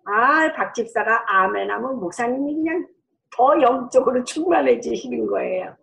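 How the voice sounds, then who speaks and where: High-voiced woman pastor preaching. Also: expressive, moderate pace, creaky, rough recording. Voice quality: loud, strong voice. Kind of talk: sermon with personal testimony.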